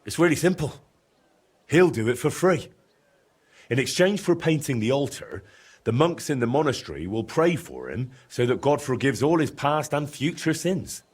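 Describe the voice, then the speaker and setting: An actor playing a practical, sceptical young male adventurer in a video game, down-to-earth, with a husky voice. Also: mid-range voice, very animated, moderate pace. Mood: earnest. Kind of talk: video game character dialogue.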